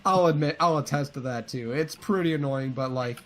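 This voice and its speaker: A man's voice: on the high side, a little fast. A man co-hosting a casual discussion of video games, informal and opinionated with a joking streak. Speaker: co-host of a video game series.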